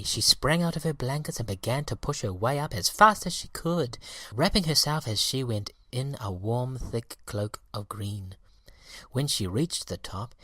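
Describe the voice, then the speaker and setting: A man's voice: medium pitch, a bit bright, no room sound. Man narrating a children's story, dry sound. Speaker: audiobook reader.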